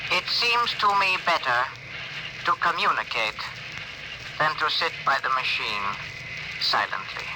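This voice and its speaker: Stilted, high-voiced alien, old radio. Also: male voice, sing-song. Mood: thoughtful, halting. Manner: slow, stilted, formal translated speech. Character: alien starship crewman, earnest, wary, gently friendly. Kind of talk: 1950s radio drama acting. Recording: noisy old 1950s radio recording.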